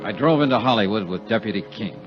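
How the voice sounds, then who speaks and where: An actor playing an unflappable, skeptical insurance investigator in a 1950s radio detective drama, clipped and matter-of-fact. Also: male voice, on the low side, expressive, fast talker, over music, close to an everyday voice. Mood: matter-of-fact narration. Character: hard-boiled male insurance investigator, dry, skeptical, unflappable. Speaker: lead actor and narrator. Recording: muffled 1950s radio broadcast recording.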